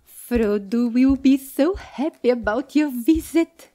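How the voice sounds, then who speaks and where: A woman playing a hospitable middle-aged hobbit lady in a fantasy audio drama, gracious and unhurried. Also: sits high, warm tone.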